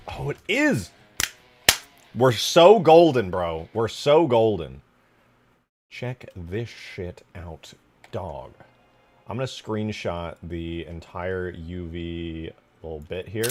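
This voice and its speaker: A man with a low voice talks through his work on a livestream, loose and slangy, with jokey asides and a readiness to get excited. He thinks aloud as he goes, and music plays clearly behind him. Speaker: live streamer and game modder. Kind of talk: casual livestream chat and screen tutorial.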